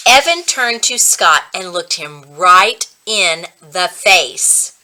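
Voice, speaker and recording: A woman reading a children's book aloud as a reading coach, with expressive, dramatic storytelling. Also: fairly low pitch, slow pace, rough recording.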